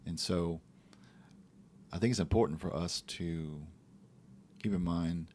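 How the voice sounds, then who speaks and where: A man co-hosting a podcast, talking in a relaxed, reflective way about faith and daily life, sometimes acting out little quotes. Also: low voice, slow pace, a little hiss or hum. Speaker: podcast co-host. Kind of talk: casual faith talk.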